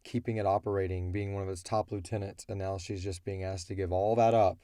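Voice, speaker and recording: A man's voice: low voice, fairly even intonation, brisk, warm tone, dry recording, clean studio sound. A man who creates audio drama, talking casually and colloquially through his story in a rambling way. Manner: rambling, colloquial, often voicing characters' thoughts. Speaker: audio drama creator answering listener questions.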